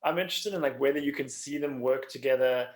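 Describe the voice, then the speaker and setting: A man hosting a conversational podcast interview, halting as he pieces his questions together. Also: medium pitch. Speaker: podcast host interviewing a guest. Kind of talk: casual interview chat.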